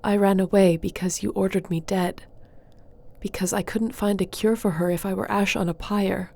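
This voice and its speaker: An actress playing a wronged, defiant sorceress in a fantasy audio drama, speaking with earnest intensity. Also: female voice, mid-range voice, steady tone, moderate pace, creaky, a little mellow. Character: wronged, defiant sorceress pleading her innocence.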